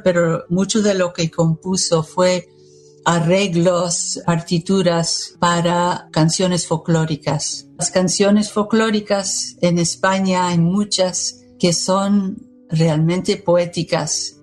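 An American woman speaks Spanish slowly in a low, level voice, her English accent clear beneath each word. She answers in a measured, thoughtful way, pausing between short phrases, with faint music underneath. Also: a little mellow. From United States. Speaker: poet, interviewee. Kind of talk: reflective interview answers.

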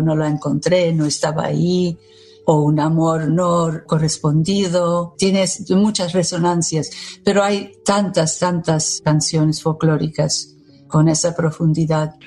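Slow, even-toned American woman. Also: deep voice, soft background music. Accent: American English. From United States. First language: English. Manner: measured, thoughtful, pausing between phrases. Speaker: poet, interviewee. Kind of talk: reflective interview answers.

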